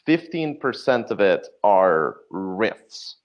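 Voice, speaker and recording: A man's voice: fairly low pitch, big pitch swings, slow. A man in a casual interview, explaining things as a guest writer and acting out little bits of dialogue. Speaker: podcast guest, audio drama writer. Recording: slightly dull, lo-fi audio.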